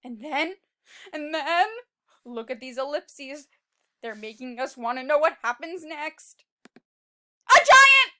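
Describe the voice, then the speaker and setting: A woman reading a picture book aloud as a teacher, expressive and exclaiming, prompting young children with questions. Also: sits high, slow, long pauses.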